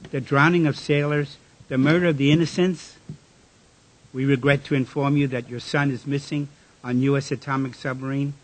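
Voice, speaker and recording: Man acting a husky, desperate whistleblower. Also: mid-range voice, close to an everyday voice, poor audio. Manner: pleading, rhetorical, solemn. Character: desperate, defeated male whistleblower, pleading and earnest. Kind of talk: radio drama acting.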